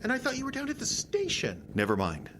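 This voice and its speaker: An actor playing an urgent, guilt-ridden male time traveler in an audio drama, speaking in a halting, emphatic, earnest way. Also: extremely high pitch, wildly swooping pitch, a bit bright, a little hiss or hum, exaggerated voice. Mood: alarmed, confused.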